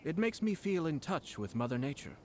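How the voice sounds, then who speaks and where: An actor playing a calm, refined, polite noble commissioner in a video game. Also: male voice, on the high side, a little hiss or hum. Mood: measured. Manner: composed, measured, polite. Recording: game audio, faint music.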